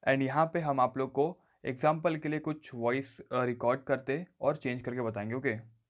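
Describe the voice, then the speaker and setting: Casting: male tutorial presenter, medium-pitched, casual explainer style with recurring stock phrases. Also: fairly even intonation, normal speed. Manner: instructional, often repeats stock phrases. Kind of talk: casual step-by-step phone tutorial. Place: voice-over on a phone screen recording.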